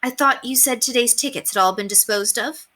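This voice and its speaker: A woman's voice: a little high, brisk, sharp tone, pitched above the performer's own voice. A woman voicing a prim, earnest young governess who speaks formally and properly in a storybook reading. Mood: puzzled, polite.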